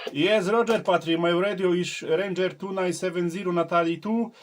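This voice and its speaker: A man from southern Poland, making a CB radio contact in halting English and spelling out call signs. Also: high voice, fairly even intonation, a crowd in the background. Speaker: CB radio operator.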